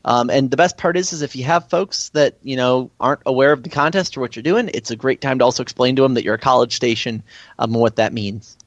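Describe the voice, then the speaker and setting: A man's voice: medium pitch, quick pace, dry recording, highs cut off. An American man talking casually as an interview guest and event organizer.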